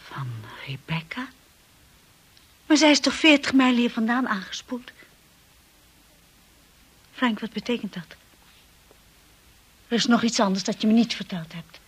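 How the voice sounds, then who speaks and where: An actress playing an anxious, timid young wife in a radio drama, earnest and breathy. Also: female voice, a little high, lively intonation, unhurried, pauses often. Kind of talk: radio drama acting with narration. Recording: hissy old radio play recording.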